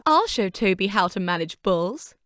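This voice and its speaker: A woman's voice: medium pitch, sing-song, moderate pace, fairly bright tone, no echo, studio quality. A woman voicing a vain, haughty, easily flustered female railcar engine in a theatrical children's story. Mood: smug, boastful. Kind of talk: character voice for a children's story fandub.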